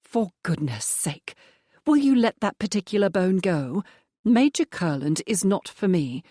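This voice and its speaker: A woman voicing a composed young woman who speaks firmly, quietly and in a measured way. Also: mid-range voice, big pitch swings, no room sound, studio quality. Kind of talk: audiobook dialogue.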